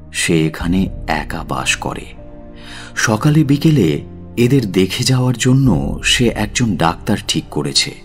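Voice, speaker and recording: A man narrating a literary audio story in a dramatic, scripted style. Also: deep voice.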